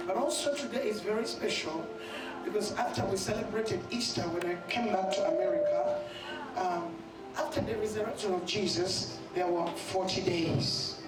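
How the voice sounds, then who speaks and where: A woman's voice: medium pitch, moderate pace. A woman in her fifties with a Ugandan accent, speaking as a pastor to her church congregation. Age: 50s. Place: church hall. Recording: echoey church PA, distant, loud music.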